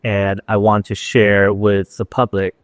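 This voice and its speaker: A man, a restaurant owner talking casually in an interview, relaxed and hesitant with fillers. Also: fairly low pitch, unhurried, no echo. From Los Angeles.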